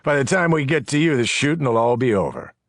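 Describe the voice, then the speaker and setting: A man's voice: mid-range voice, animated, fairly quick, no room sound, clean studio sound, voice pushed up. A man voicing a crude, scornful male gang leader who talks in a brash, mocking way. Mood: cocky.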